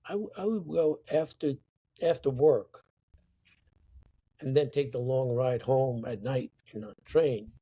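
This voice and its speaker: An older man from Sicily, a guest looking back on his life, speaks in a slow, deliberate way and stresses the words that matter. His voice is dark and mellow, with a husky edge. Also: mid-range voice. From Sicily, Italy. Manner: slow, deliberate, emphatic storytelling. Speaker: interview guest, artist. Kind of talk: reflective storytelling.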